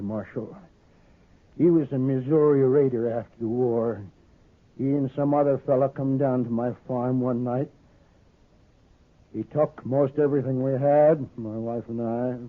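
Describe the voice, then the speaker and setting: A weary old farmer, tinny radio. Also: male voice, medium pitch. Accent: American. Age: older. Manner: plainspoken, slow, halting. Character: weary, grimly determined old farmer hunting his daughter's wronger. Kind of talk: 1950s radio western acting. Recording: muffled, narrow-band old radio recording.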